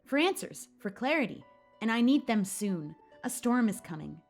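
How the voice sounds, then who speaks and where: A woman voicing a wistful, mysterious male master magician from a fantasy game, speaking softly. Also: fairly high pitch, very animated, moderate pace, soft background music. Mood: wistful, cryptic.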